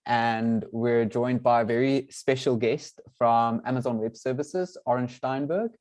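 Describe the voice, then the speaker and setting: A man's voice: mid-range voice, a little slow, a little mellow. A young man whose first language is Afrikaans, hosting an online webinar over a video call in a friendly way, with many "um"s and restarted phrases. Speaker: event host, product manager. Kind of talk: webinar introduction and audience poll.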